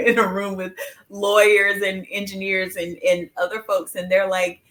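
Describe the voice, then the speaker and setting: A woman's voice: mid-range voice, completely flat delivery, normal speed, a bit bright. A woman hosting an interview, speaking in a thoughtful, appreciative way as she frames her questions. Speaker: host. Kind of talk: conversational interview.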